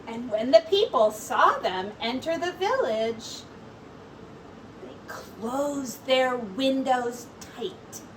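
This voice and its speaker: Female storyteller, high voice, unhurried and expressive children's read-aloud, recorded at a distance from the mic. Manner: expressive, deliberate storytelling with brief asides to listeners. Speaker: teacher. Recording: distant mic with faint background noise.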